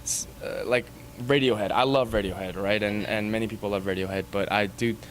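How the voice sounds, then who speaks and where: Hesitant low-voiced man in his thirties. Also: fairly even intonation, a little fast, a little hiss or hum. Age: 30s. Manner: hesitant, rambling with many fillers. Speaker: band musician. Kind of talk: casual interview.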